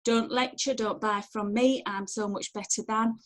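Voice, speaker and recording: A middle-aged woman with a Sheffield accent giving an informal online training talk from home, chatty, animated and direct. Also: medium pitch, moderate pace. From Sheffield, England. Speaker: empowerment coach giving online networking training. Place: at home on a video call. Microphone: video call, wearing headphones.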